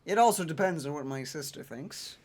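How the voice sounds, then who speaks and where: A man's voice: on the high side, animated, a little fast, darkish tone. A man co-hosting a let's play, joking and theatrical in casual gaming banter, with game music in the background. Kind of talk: playful gaming banter, reading choices aloud. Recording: faint game music behind.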